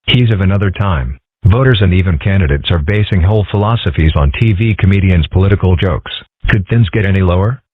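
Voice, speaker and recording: A man voicing a calm, wry young fox boy in a comic dub. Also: sits low, a little fast, hardly any pauses, dry recording. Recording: tinny, phone-like, dry.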